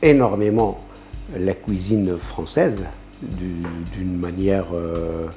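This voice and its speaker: Hesitant man with a low, dark voice. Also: slow, soft background music. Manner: hesitant, heavy with fillers, chuckles between points. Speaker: interviewee. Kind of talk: casual interview talk.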